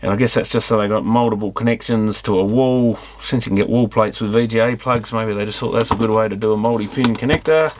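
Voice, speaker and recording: A man's voice: fairly low pitch, fairly even intonation, quick pace, hardly any pauses. A man giving casual, unscripted teardown commentary on electronics, musing and thinking aloud as he examines things. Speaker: electronics repair hobbyist presenting a teardown.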